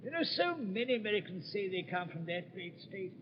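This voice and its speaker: An actor playing a mild, polite upper-class English gentleman with a British accent, in an old-time radio drama. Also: high-pitched, big pitch swings, a little fast, a little hiss or hum. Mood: puzzled. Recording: echoing, muffled vintage radio recording.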